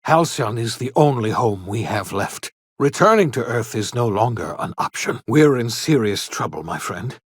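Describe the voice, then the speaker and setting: An actor playing an eccentric elderly scientist and rebel in a video game, earnest and theatrical, with formal, old-fashioned, emphatic phrasing. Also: male voice, medium pitch, expressive, moderate pace, few pauses, slightly rough, no echo, clean studio sound. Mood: grave, worried. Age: older. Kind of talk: video game character dialogue.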